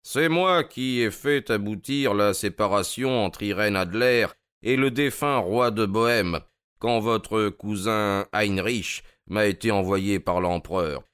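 Un homme qui joue, pour un livre audio, un détective anglais d'âge mûr, suave, courtois et moqueur. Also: male voice, lowish voice, a bit bright, clear, resonant tone, dry recording, studio quality. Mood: proud. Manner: amused, courteous, drawn-out mocking delivery. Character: suave, wry older English detective, gloating politely.

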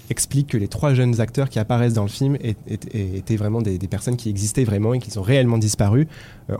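A man's voice: fairly low pitch, a little hiss or hum. A man hosting a film podcast, introducing topics and leading the talk with some hesitation. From France. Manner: lively, hesitant at times. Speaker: podcast host.

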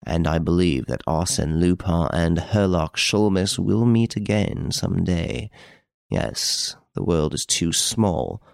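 Proud English master detective for an audiobook, male reader, low creaky voice, haughty, controlled, deliberate. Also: very animated, a little mellow, no room sound, studio quality, heavily acted. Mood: grimly resolved.

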